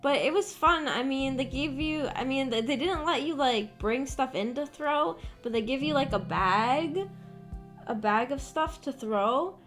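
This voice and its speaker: Chatty streamer woman, rough morning voice. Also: high-pitched, unhurried, fairly bright tone, soft background music. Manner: rambling, chatty, lots of fillers. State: rough, hoarse-sounding voice from just waking up. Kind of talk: casual chat stream.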